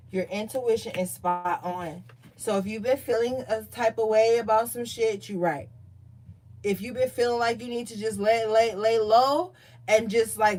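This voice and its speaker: A woman giving a casual tarot reading, chatty and profane, reading card meanings aloud more calmly. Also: mid-range voice. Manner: loose, profane, chatty asides. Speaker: tarot reader.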